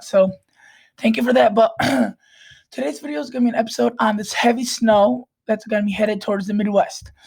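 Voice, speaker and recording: A woman with a raspy voice, hoarse from a cold, walking through weather models in a casual forecast with restarts and throat clearing. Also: medium pitch, darkish tone, low-quality recording. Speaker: weather vlogger.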